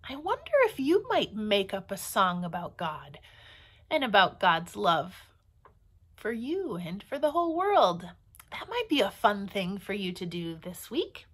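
Female children's storyteller, forties, pastor type. Unhurried delivery, lively swooping intonation, simple phrasing. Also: mid-range voice. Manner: animated, simple phrasing with deliberate pauses for children. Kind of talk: storytelling and talking to young children. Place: small room at home or office, indoors.

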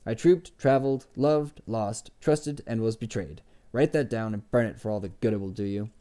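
A man's voice: on the low side, normal speed, dark tone, lightly acted. A man voicing a guarded, sarcastic innkeeper in an audiobook, curt and cool in his commanding way of talking. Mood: bitter, snapping.

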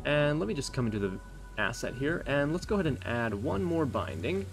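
A man's voice: mid-range voice, sing-song, brisk, soft background music. A man hosting a tutorial, explaining each step as he works through it on screen. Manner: explanatory, thinking aloud while working. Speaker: tutorial host. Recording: clear background noise, keyboard typing and clicks. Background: computer keyboard typing and mouse clicks.

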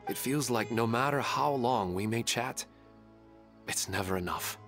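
An actor playing a gentle, wistful husband and father in a video game, speaking earnestly and reflectively. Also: mid-range voice, measured pace, music in the background, lightly acted.

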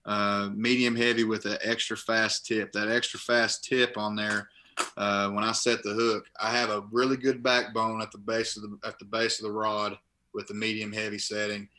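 Young guy on a webcam call from his living room, kinda rambly, keeps restarting, bright voice, pretty flat. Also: on the low side, moderate pace. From Kentucky. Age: young adult. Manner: rambling, restarts phrases often. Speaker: guest, college linebacker and bass angler. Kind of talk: casual interview over video call. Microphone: laptop webcam on video call.

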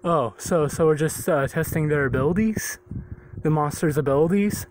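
A man voicing a puzzled, deferential servant in a cartoon, stammering haltingly as he questions his master. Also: on the high side, a little mellow, slight background noise, natural voice, low-quality recording.

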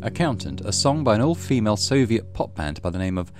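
A man reading a visual novel aloud as a let's play host, with expressive storytelling and casual commentary. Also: on the low side, brisk. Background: soft game music.

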